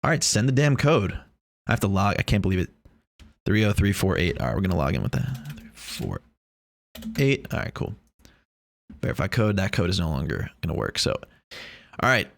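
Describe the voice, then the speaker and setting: A man's voice: low-pitched, big pitch swings, moderate pace, vocal fry, long pauses, no room sound. A man, rambling and joking in a casual vlog monologue, boastful and swearing freely. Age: 20s. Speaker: vlogger. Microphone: lav or shotgun mic.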